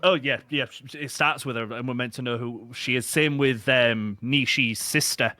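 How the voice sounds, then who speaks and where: A man's voice: mid-range voice, fairly even intonation, normal speed, pushed and bright, no room sound. A young man with a Yorkshire accent, a stream guest in casual chat, ranting with animation and often correcting himself. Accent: Yorkshire English. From Yorkshire, England.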